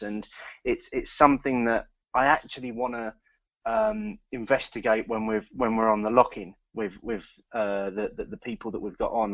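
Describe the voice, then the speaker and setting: A man co-hosting a casual podcast chat, talking in halting bursts with many restarts and repeated words. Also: medium pitch, creaky, dry recording, dull audio. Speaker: podcast co-host.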